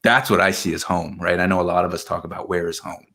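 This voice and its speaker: A man from Argentina, a podcast guest in his thirties, telling his story in a relaxed way, full of "man" and "you know". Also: deep voice, fast talker, gravelly. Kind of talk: casual conversational interview.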